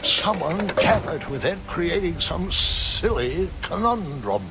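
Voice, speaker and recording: A man playing a whimsical old grandfather who tells his adventures theatrically in a storybook-style game, with a gravelly voice. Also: high voice, lively intonation, takes it slowly, exaggerated voice. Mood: storytelling.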